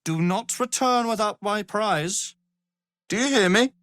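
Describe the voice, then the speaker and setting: A man's voice: high voice, measured pace, fairly bright tone, dry recording, studio quality. A man voicing an arrogant, power-hungry wizard in a fantasy game, with a theatrical, pompous, condescending delivery. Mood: commanding, furious.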